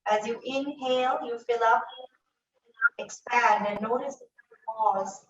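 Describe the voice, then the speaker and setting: A woman with a slightly high voice guides a breathing meditation, speaking softly and slowly with long pauses between instructions. She sounds set back from the microphone in an echoey room, and the recording is muffled and dull. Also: distant mic. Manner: slow, soft, calm instructions with long pauses. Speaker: yoga teacher.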